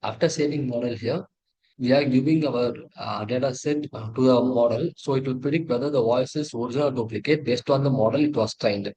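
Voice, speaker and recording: A man with an Indian accent presenting a technical project walkthrough in a halting, repetitive way, often correcting himself. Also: mid-range voice, dark tone, low-quality recording. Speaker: presenter of an engineering project demo. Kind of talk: tutorial walkthrough, partly read from slides.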